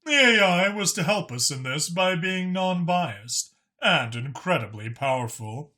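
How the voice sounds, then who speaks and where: A man's voice: a little high, lively intonation, a little slow, bright, forceful tone, pitched above the performer's own voice. A man voicing a talkative alien dragon informant who explains things knowingly and with emphasis in an audiobook story. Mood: solemn, explanatory.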